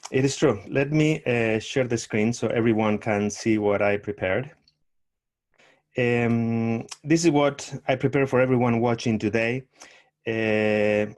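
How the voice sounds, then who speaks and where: A middle-aged man speaks over a video call, at an ordinary mid pitch. He talks in a measured, thoughtful way, pausing often with fillers as he explains his teaching. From Argentina. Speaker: tango teacher, guest. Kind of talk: online summit interview, presenting.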